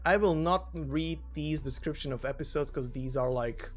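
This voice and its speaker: A man hosting a story narration channel, giving an informal, slightly halting spoken introduction. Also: a little high, faint music behind. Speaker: narrator and host.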